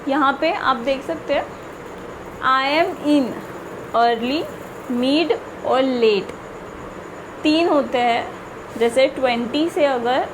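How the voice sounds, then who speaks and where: A woman teaching a spoken English lesson, mixing in English example sentences that she reads out slowly and carefully. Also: high-pitched, background noise. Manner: slow, deliberate example sentences with frequent "theek hai".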